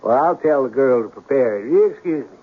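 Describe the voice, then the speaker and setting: An actor playing a courteous, eccentric gentleman writer in a 1970s radio drama, speaking formally and grandiloquently. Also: mid-range voice, lively intonation, a little fast, close to an everyday voice. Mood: hospitable. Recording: dull old radio broadcast.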